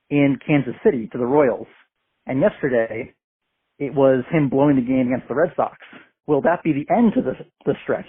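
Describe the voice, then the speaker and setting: A man's voice: mid-range voice, breathy edge. A man calling in with a casual fan voicemail. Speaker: caller. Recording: narrow phone voicemail line.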